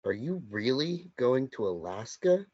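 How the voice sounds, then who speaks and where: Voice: mid-range voice, very animated, unhurried, a little mellow. A reader playing a teasing ex-boyfriend who won't leave in a table read of a stage play. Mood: needling. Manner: needling, persistent. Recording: dull lo-fi recording.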